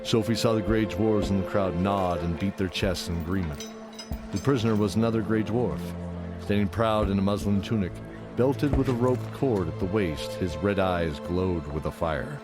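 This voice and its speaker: Deep-voiced male narrator over music. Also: moderate pace. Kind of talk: scripted fantasy audio drama narration. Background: loud music.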